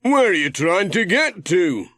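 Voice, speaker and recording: A hearty, confident sailor played by a male reader in a children's audiobook, bossing and calling out instructions. His voice is raised in pitch and very lively, with a close, dry sound. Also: unhurried, no room sound, full character voice. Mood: brisk, bossy. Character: Ted, brisk, hearty man, bossy amateur sailor.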